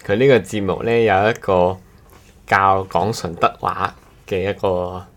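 Low-voiced man, casual co-host. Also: fairly even intonation, measured pace. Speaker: podcast co-host. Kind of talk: casual chat.

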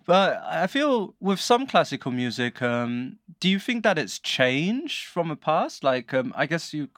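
A man's voice: a little high, sing-song, measured pace, fairly bright tone, no echo. Easygoing male podcast host, dry sound. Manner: easygoing, chatty, light laughter. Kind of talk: casual podcast conversation.

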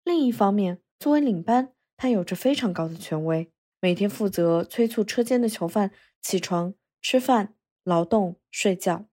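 A woman hosting a podcast, giving scripted narration in a measured storytelling manner. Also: medium pitch, expressive, warm tone. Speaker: podcast host.

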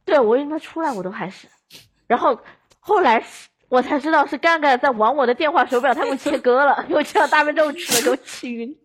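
A woman's voice: high voice, fast talker. A woman on a podcast telling anecdotes in casual conversation. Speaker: podcast speaker. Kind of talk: casual storytelling chat.

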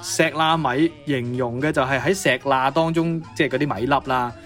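A young man with a Cantonese accent co-hosting a casual radio chat, talkative and quick to exclaim or question. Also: medium pitch, fairly bright tone, soft background music. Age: 20s to 30s. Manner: chatty, reacts with exclamations and questions. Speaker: co-host of a Cantonese pop history programme.

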